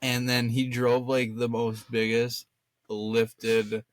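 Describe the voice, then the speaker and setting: A young man telling stories in a casual chat, animated and slangy with plenty of swearing and acted-out quotes. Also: medium pitch, takes it slowly, no echo. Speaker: co-host.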